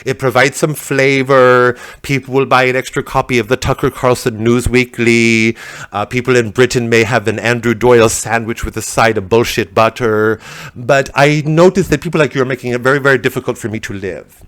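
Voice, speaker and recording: A man's voice: mid-range voice, fairly even intonation, a little fast, a bit bright, no room sound, studio quality, lightly acted. A man playing a pedantic, wordy caller who over-explains every phrase. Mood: prim.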